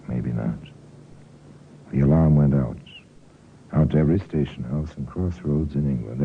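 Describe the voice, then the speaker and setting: Very deep-voiced man grave crime storyteller. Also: vocal fry, slightly rough, full character voice. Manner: brooding, deliberate, sardonic. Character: grave, wry male storyteller of crime cases. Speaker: narrator and host. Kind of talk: 1950s radio drama narration. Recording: tinny, noisy old radio recording.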